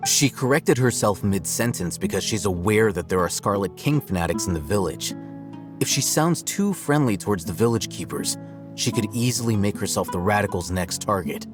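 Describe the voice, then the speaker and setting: A man voicing a calm, aloof young male scholar in a video game, measured and dry. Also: fairly low pitch, close to an everyday voice. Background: music.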